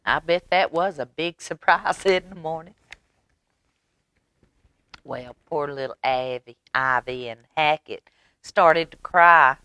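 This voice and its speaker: A woman with a Southern American accent telling a children's story in an expressive, folksy way, with little asides. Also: low voice, smooth, no room sound. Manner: expressive, folksy, unhurried, dramatic whispers and asides. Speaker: library story time host.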